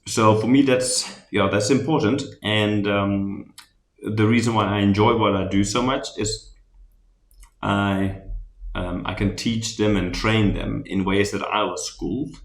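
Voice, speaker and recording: A man from the Netherlands with a Dutch accent, giving a relaxed, rambling recap of a design workshop he presented, with many ums and restarts. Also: on the low side, measured pace, reverberant.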